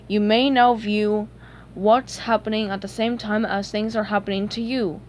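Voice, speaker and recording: A woman voicing a mystical, laid-back male talking tumbleweed with a measured, cryptic way of speaking. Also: medium pitch, steady tone, slight background noise, close to an everyday voice. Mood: serene. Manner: cryptic, measured, mildly exasperated.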